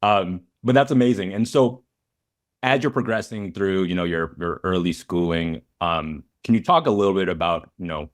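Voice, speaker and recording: Low-voiced man hosting a podcast. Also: normal speed. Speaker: podcast host and interviewer. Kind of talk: casual podcast interview with scripted intro.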